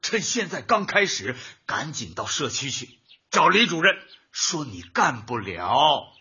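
A man's voice: fairly high pitch, sing-song, unhurried, sharp tone, breathy edge, heavily acted. A male actor playing a fussy, pedantic, nagging husband in a comic radio drama, scolding in a bookish way. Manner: scolding, bookish, uses proverbs. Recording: dull lo-fi audio.

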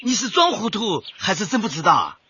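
Nosy old man next door: very raspy, bright, high, teasing, radio comedy. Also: sing-song, a little fast, highs cut off, full character voice. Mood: teasing, curious. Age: older. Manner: teasing, questioning. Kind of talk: comic radio drama acting.

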